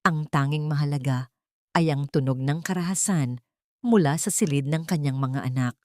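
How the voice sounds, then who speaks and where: Low-voiced woman narrating a drama. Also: moderate pace.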